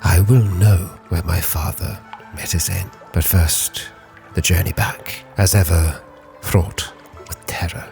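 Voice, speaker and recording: A man playing a grave, driven Victorian sea captain, solemnly narrating his log with theatrical, weighty pauses over music. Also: deep voice, steady tone, gravelly, full character voice. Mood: brooding, determined. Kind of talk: dramatic scripted storytelling, ship's log in character.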